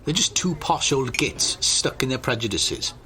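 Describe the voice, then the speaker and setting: A man's voice: medium pitch, animated, moderate pace, sharp tone, a little hiss or hum. A man acting in an audio drama as a level-headed friend who reasons and keeps the peace. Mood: reasoning.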